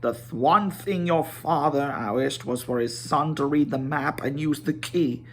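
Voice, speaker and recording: A man voicing a grave old wizard in a storybook reading, stern, proud, slow and grim in manner. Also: on the high side.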